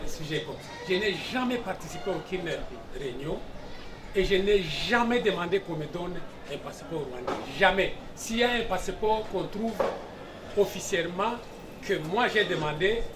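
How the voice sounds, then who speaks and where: A man's voice: high-pitched, noisy background. A man with a Congolese accent speaking as a defendant in court, emphatic and insistent, repeating himself. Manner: emphatic, insistent, repetitive denials. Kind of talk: spoken self-defence in court. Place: large indoor courtroom. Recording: echoey courtroom, distant mic, crowd murmur.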